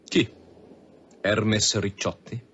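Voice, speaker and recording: An actor playing a sardonic, insistent police commissioner in an Italian radio drama, with sarcastic repetitions and veiled threats. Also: male voice, lowish voice, level tone, extremely slow, fairly bright tone. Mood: sardonic, menacing. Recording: dull sound with faint background noise.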